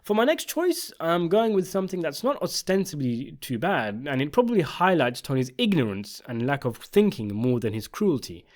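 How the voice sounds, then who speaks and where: A man's voice: medium pitch, darkish tone. A man narrating a scripted video essay, giving opinionated commentary on a television drama.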